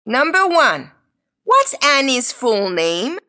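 Bright high-voiced woman reading dialogues. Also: big pitch swings, takes it slowly. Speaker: textbook audio reader. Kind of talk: scripted dialogue reading for learners.

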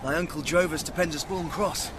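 An actor playing a confident, sceptical young gentleman investigator in a radio drama. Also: a little high, fairly quick, background noise, close to an everyday voice. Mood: tense. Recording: radio drama with loud music underneath.

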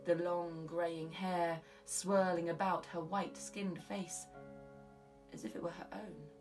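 A woman's voice: fairly low pitch, steady tone, slow, recorded from a distance, over music, poor audio. A young British woman reading scripted literary narration of a crime novel as an audiobook narrator in a small recording booth. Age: young adult. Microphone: studio condenser with pop filter, side-on.

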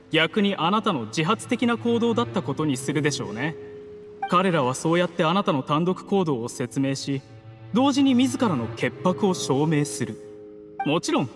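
男性の声優がゲームで演じる、礼儀正しく落ち着いた口調で脅す冷酷な策士の役人。. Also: male voice, high voice. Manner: courteous, measured, menacing formal speech. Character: coolly ruthless scheming male official, polite betrayer. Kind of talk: dramatic game cutscene acting in Japanese anime manner. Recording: long reverb, music underneath.

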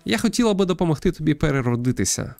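A man voicing a kind, earnest girl spirit in a visual novel, gently coaxing as she offers help. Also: a little high, expressive, racing, creaky, no echo, pitched above the performer's own voice.

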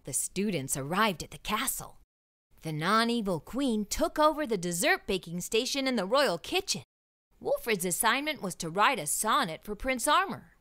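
A woman reading a children's storybook aloud as an expressive narrator. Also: medium pitch.

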